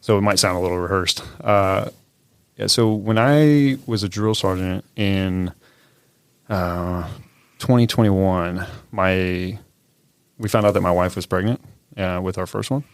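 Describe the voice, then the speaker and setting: A man in his thirties speaks in a deep, low register as an interview guest. His talk is easygoing and loose, with plenty of fillers. The sound is dry and close, with no room around it. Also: a little slow, clear, resonant tone, dry recording. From California. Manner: easygoing, loose, frequent "uh" and "yeah". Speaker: podcast guest, soldier.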